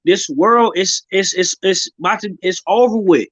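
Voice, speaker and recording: A man's voice: sits high, normal speed, a bit bright, no echo, highs cut off. A man speaking as a guest on a livestream panel, ranting fervently and with lots of profanity, often ending his lines with "bruh". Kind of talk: impassioned livestream rant.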